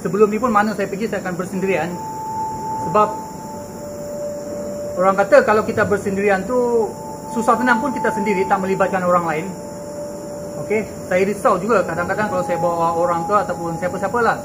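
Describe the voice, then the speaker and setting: A man's voice: high-pitched, fairly even intonation, a little fast, long pauses. A young Malaysian man with a Malaysian accent, vlogging and talking casually to his viewers in a chatty, reassuring way, recorded outdoors at night on a handheld camera mic. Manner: chatty and reassuring, mixes in Kadazan Dusun phrases. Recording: noisy outdoor night ambience with insects.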